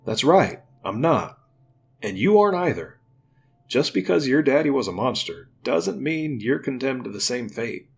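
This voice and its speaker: A man playing a gruff but tender uncle who talks to his young nephew in an earnest, plainspoken, folksy way in an audio drama. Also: medium pitch, very animated, measured pace, pitched above the performer's own voice, exaggerated voice. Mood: earnest, emotional.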